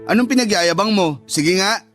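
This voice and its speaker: A young younger brother in a radio drama, blunt and quick to bicker. He talks in a needling, accusing way, with light music behind him. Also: sits high, expressive, fairly bright tone. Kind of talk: Tagalog radio drama acting.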